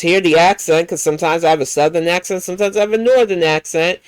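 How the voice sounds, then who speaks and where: A woman's voice: fairly low pitch, no room sound, poor audio. An American woman hosting a livestream, reacting to clips in a blunt, profane, heated rant. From United States. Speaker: livestream host.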